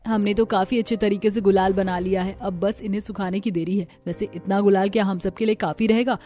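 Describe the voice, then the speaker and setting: A woman's voice: mid-range voice, steady tone, fairly quick, dark tone, soft background music, natural voice. A woman voicing an eager, dreamy young village daughter-in-law in a cartoon story, earnest and emphatic.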